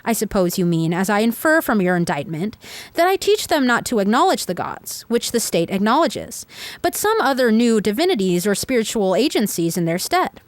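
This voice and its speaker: A woman's voice: mid-range voice, sing-song, moderate pace, no echo, natural voice. A woman reading as an old philosopher on trial. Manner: argumentative, questioning, rhetorical. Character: elderly male philosopher defending himself in court. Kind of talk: reading a dialogue aloud.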